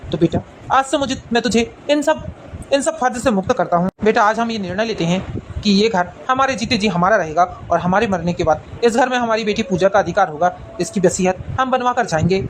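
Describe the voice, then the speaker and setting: A woman's voice: mid-range voice, animated, a little fast, a little hiss or hum, voice pushed down, lightly acted, low-quality recording. A woman voicing a grave, hurt elderly father and family head in a cartoon drama, speaking solemnly and decisively. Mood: grave, resolute.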